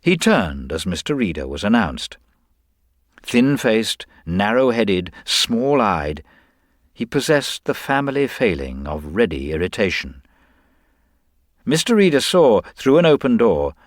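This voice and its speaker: A man narrating a scripted story in a wry, measured way. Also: on the low side, big pitch swings, no room sound, clean studio sound.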